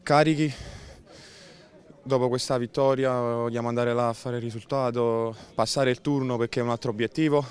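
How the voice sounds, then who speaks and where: A man's voice: medium pitch, steady tone, moderate pace, clear, resonant tone. A young man, a goalkeeper, answering outdoors after a match in short upbeat phrases. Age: young adult. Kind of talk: post-match interview. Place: outdoors by a football pitch. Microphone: handheld interviewer mic.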